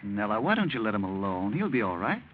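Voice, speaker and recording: A man's voice: lowish voice, big pitch swings, fast talker. An actor in a 1940s radio drama playing a cool-headed, clipped, slangy man who takes command in a crisis. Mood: casual. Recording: dull, noisy old-time radio recording.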